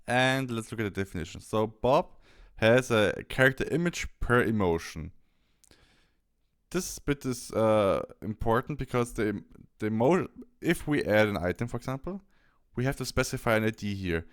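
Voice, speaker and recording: A man presenting a software tutorial step by step, thinking aloud a little hesitantly as he demonstrates. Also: lowish voice, lively intonation, normal speed, clear, resonant tone.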